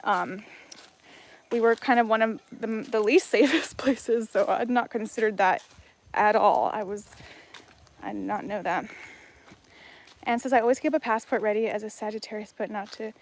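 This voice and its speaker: A woman's voice: medium pitch, moderate pace, pauses often. A woman from Hawaii on a casual livestream, reading her chat aloud and rambling with lots of fillers and restarts while out on a walk. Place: outdoors on a jungle walk.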